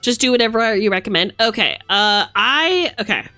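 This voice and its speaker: A woman's voice: fairly high pitch, expressive, normal speed, pushed and bright, soft background music. A woman livestreamer chatting casually and affectionately, thinking aloud in a playful way as she reads tarot cards.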